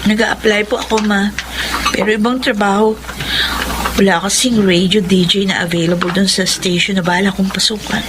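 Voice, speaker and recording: A woman's voice: mid-range voice, fairly even intonation, normal speed, background noise, close to an everyday voice. An actress playing a hopeful young woman just out of college who wants to be a radio DJ, polite and earnest, tearful at times. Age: 20s. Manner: earnest and polite, breaking into tears. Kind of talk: Filipino radio drama acting.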